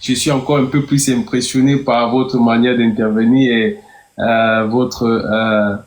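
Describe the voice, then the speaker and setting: A man's voice: medium pitch, flat delivery, measured pace, echoey room, slight background noise. A man hosting an online talk show, polite and hesitant, searching for his words. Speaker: host.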